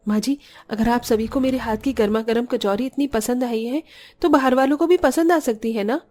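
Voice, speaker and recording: A woman playing a poor, hardworking young widowed mother in a cartoon story, earnest and expressive. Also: on the high side, moderate pace, faint music behind, natural voice. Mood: caring, hopeful.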